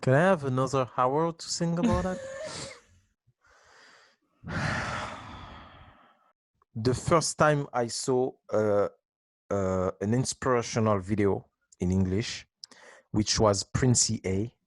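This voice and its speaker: A man in his twenties, a dance teacher, answering interview questions with halting restarts and frequent laughs. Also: medium pitch, no echo. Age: 20s.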